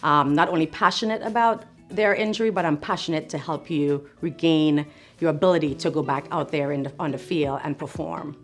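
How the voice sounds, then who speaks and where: Low-voiced woman from Trinidad and Tobago. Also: fairly quick, faint music behind. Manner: earnest, encouraging, reflective. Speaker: physician assistant. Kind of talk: speaking to camera about her work.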